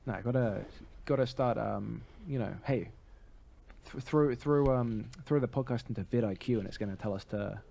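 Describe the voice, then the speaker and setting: A man's voice: mid-range voice, moderate pace, vocal fry, warm tone. A man co-hosting a podcast, talking in a casual, conversational way. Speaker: podcast co-host.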